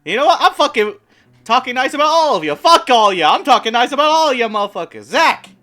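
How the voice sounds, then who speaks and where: A man hosting a live tabletop game stream, cursing loudly and excitedly as he chats with players. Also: very high voice, a little fast, faint music behind. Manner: loud, swearing, excitable. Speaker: game master and stream host. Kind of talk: casual banter on a live stream.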